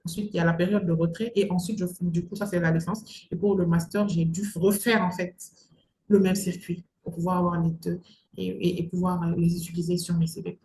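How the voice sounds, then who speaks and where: A woman's voice: fairly low pitch, flat delivery, brisk, mellow. Emphatic woman in an echoey room. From Mali. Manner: emphatic, repeats phrases for stress. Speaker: entrepreneur interview guest. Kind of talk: conversational advice-giving interview talk. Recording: roomy echo with faint background noise.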